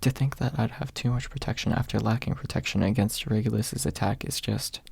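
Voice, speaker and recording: A man's voice: lowish voice, fairly quick, vocal fry, a little mellow, husky, no room sound, exaggerated voice. A man voicing a flustered, protesting young male hero in a fantasy audiobook.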